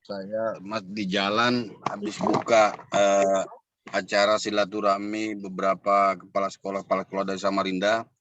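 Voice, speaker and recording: A man giving an informal address as a webinar guest, courteous and halting in his delivery. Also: on the low side, flat delivery, background noise. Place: online video call. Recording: dull echoey online call audio.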